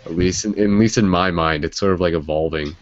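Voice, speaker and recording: A man's voice: low voice, brisk. A man hosting a casual hangout chat, rambling with lots of fillers. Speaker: host. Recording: dry dull lo-fi audio.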